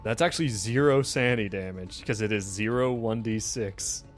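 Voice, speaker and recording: A man's voice: on the low side, normal speed. A man running a tabletop roleplaying game, improvising narration and explaining scenes and rules in a conversational way. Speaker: game master. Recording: music playing faintly behind.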